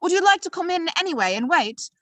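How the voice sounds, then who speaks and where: A woman voicing a giant's quick-witted, politely chatty wife in an attempted Irish accent for a storybook tale. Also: very high-pitched, big pitch swings, fairly bright tone, no echo, voice pushed up, exaggerated voice. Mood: sweetly welcoming.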